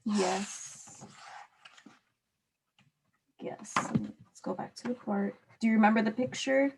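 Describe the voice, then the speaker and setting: A woman teacher with a husky voice reading aloud and patiently putting simple guiding questions to a student. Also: a little high, slow pace, background noise. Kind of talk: read-aloud and one-on-one comprehension questions.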